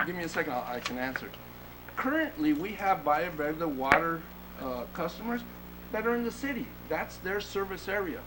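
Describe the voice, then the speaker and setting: A man serving as city manager, answering questions at a council meeting in a measured, explanatory way. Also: a little high, expressive. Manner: measured and explanatory, with fillers and restarts. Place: council chamber. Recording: noisy meeting-room recording.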